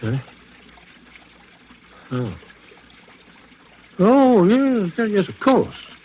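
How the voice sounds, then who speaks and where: An actor playing a flustered, guilty gentleman of the house in a 1940s radio drama, hesitant and halting. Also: sits high, big pitch swings, exaggerated voice. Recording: faintly noisy vintage 1940s radio recording.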